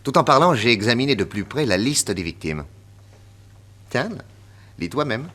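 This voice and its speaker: An actor playing a confident, witty detective inspector with a French accent, emphatic and theatrical in 1960s radio drama style. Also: male voice, mid-range voice, big pitch swings, moderate pace, fairly bright tone. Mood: triumphant, playful. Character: confident, witty male detective inspector explaining his deductions.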